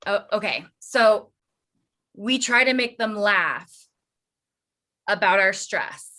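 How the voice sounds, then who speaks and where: A woman's voice: medium pitch, animated, slow pace, sharp tone. A woman playing a hesitant but practical, supportive woman in a staged reading of a play. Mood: tentative.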